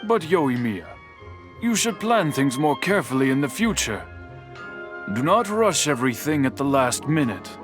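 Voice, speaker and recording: A man's voice: on the high side, sing-song, music in the background. Formal, stern master craftsman. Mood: stern, admonishing. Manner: formal, deliberate, admonishing. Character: stern, measured, kindly older master craftsman. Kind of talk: anime-style video game voice acting.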